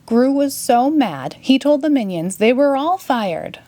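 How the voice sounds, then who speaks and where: A woman narrating a children's story in a clear, expressive read-aloud manner. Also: sits high, measured pace, slight background noise. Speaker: storybook reader.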